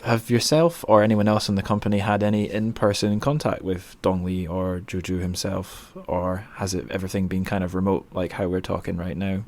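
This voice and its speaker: A man's voice: low voice, darkish tone, dry recording. A man hosting a casual podcast interview, speaking in an awkward, hesitant, self-deprecating way. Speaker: podcast host.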